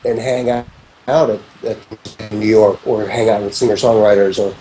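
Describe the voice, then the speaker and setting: Fifty-something man, mellow rambling talk. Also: fairly low pitch, little pitch movement, moderate pace, a little hiss or hum, poor audio. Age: 50s. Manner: rambling, frequent restarts and "you know"s, reflective. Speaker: interview guest, music producer. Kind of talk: casual conversational interview.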